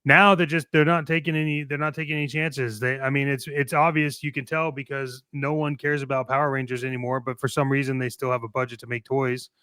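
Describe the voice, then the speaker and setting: A man's voice: mid-range voice, flat delivery, fairly quick, few pauses, sharp tone, clean tone, no echo. A man co-hosting a hobby talk show, moving between opinionated chat and brash, playful, slangy ad reads. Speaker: co-host of a toy-collecting show.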